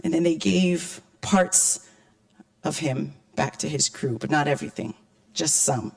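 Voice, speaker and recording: A woman from Hawaii speaking as an invited panelist to an audience, with a croaky, hoarse voice and a conversational, wry manner. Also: sits low, expressive, unhurried. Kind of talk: public talk to an audience.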